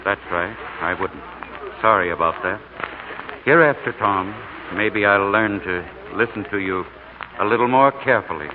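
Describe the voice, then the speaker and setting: A man's voice: on the low side, normal speed, natural voice. An actor playing a sober, contrite middle-aged father proud of his son in an old radio drama. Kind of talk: 1940s radio drama acting. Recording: noisy, narrow old radio broadcast.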